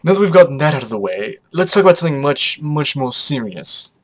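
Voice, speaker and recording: A man's voice: on the high side, big pitch swings, brisk. A man voicing a suave, urbane vampire pony father with a genteel, teasing manner in a story reading. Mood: grave.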